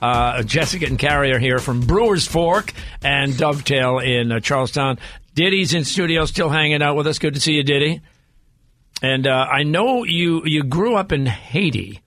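A man's voice: fairly high pitch, expressive, normal speed, a bit bright, dry recording. A man hosting a radio talk show in a casual interviewing style, speaking into a studio microphone. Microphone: close studio microphone.

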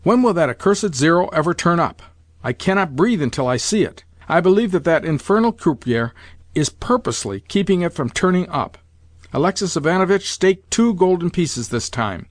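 A man's voice: a little high, lively intonation, moderate pace, no echo, clean studio sound. A man voicing an imperious, impatient old grandmother in an audiobook, full of commands and exclamations. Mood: fuming, demanding. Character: imperious, excitable old Russian grandmother addicted to roulette. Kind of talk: audiobook character voice.

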